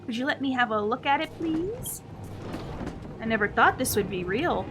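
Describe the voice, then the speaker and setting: A woman's voice: high voice, lively intonation, normal speed, music in the background. A woman voicing a genial, earnest, book-loving publisher in a video game. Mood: wistful, genial.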